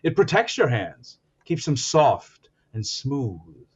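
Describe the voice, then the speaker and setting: A man's voice: mid-range voice, sing-song, slow, breathy edge, lo-fi sound, heavily acted. A man playing an eager radio announcer who hams up a society husband, with grand melodramatic diction in 1940s radio comedy style. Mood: melodramatic pleading.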